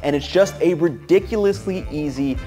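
A young man in his twenties, upbeat and relaxed, narrating his home cooking and chatting to the camera in his kitchen. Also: a little high, normal speed, faint music behind. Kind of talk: cooking vlog voice-over and casual to-camera chat.

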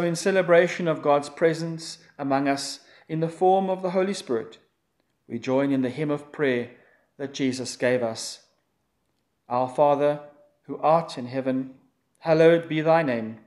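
A man's voice: fairly high pitch, a little mellow. Solemn man leading prayer and scripture. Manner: solemn, measured liturgical reading. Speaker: pastor. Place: church.

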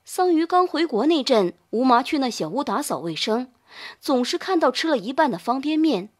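High, lively female audiobook narrator. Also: moderate pace. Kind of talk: scripted dramatic novel narration.

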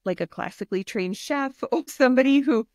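A woman's voice: sits high, fairly quick, darkish tone, no room sound, studio quality. A woman health and wellness coach, a podcast guest, talking in an earnest, encouraging, practical way. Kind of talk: podcast interview.

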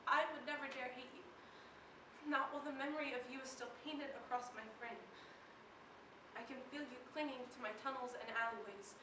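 A young woman performing slam poetry in a dramatic, deliberate way, her delivery moving between hushed and intense. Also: sits high, fairly even intonation. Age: teenager or young adult. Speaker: slam poet. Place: live poetry slam in a room. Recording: echoey room, distant mic, faint noise.

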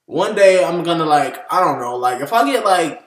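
A young man with an American accent hosts a casual reaction vlog. His voice sits a little high, and the room around him is audibly echoey. He swears freely as he chats. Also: expressive, moderate pace. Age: 20s. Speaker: reaction video host. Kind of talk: casual, profane reaction chat. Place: small indoor room at home. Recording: roomy, echoey indoor sound.

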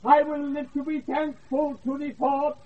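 A British actor playing an indignant, pompous steward imprisoned as mad in a radio Shakespeare play, pleading and declaiming. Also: male voice, very high-pitched, level tone, a little slow. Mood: aggrieved pleading. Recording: tinny, distant off-air radio recording.

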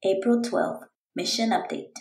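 A woman voicing an eager, determined young girl reading out her storybook diary in an earnest, expressive way. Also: medium pitch, unhurried, gravelly, roomy sound. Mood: hopeful.

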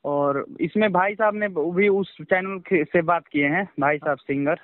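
A man giving an informal phone interview as a songwriter, speaking in a halting, repetitive, defensive way. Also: sits high, no room sound. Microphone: phone call. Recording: tinny, dry phone-call line.

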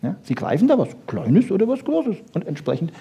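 A man's voice: sits high, very animated, a little fast, creaky, warm tone, husky. A man giving an informal lecture, explaining conversationally with rhetorical questions and short emphatic statements. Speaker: lecturer on brain research. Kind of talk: animated informal lecture to an audience.

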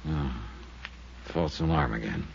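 A man's voice: extremely low pitch, animated, slow, vocal fry, slight background noise, exaggerated voice. An actor playing a terse, world-weary male insurance investigator who also narrates, in 1950s radio drama style. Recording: muffled old radio broadcast with music.

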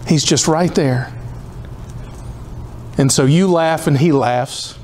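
A man's voice: fairly high pitch, slow pace, darkish tone, slight background noise. Impassioned man in his fifties preaching. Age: 50s. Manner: impassioned, rhythmic repetition, pleading. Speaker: pastor. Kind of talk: sermon. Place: church hall in front of a cross.

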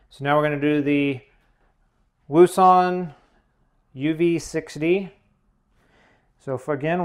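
A man narrating a hands-on tutorial, explaining plainly one step at a time. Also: a little high. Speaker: instructor, demonstrator.

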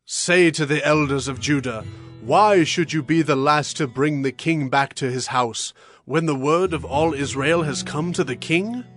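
Slow, grieving high-voiced old king. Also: a bit bright, faint music behind. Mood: solemn, earnest. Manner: solemn, drawn-out delivery. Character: grief-stricken elderly king and father. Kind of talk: dramatized scripture audio drama.

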